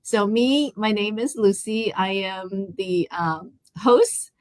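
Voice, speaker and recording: A woman's voice: mid-range voice, slow, darkish tone, no room sound. A woman from Taiwan hosting a live online interview. She talks in a chatty way, with many fillers and restarts. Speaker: host.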